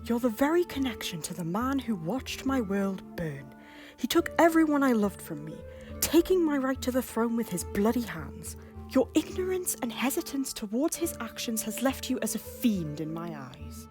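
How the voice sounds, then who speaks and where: A woman's voice: fairly high pitch, big pitch swings, normal speed, music in the background. A woman voicing a bitter, distrustful young male prince who claims the Scottish crown, speaking with scorn in a fan audio drama. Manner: scornful, sharp-tongued. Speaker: voice actor.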